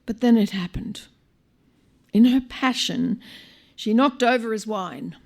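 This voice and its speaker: An Australian woman reading a short story aloud live, in an expressive, wry manner with dramatic pauses. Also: a little high, creaky. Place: live on stage at a small venue.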